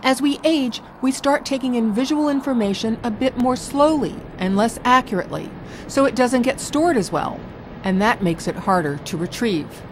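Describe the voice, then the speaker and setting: A woman giving scripted narration for a documentary in a measured, clear way. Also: fairly high pitch, a little hiss or hum.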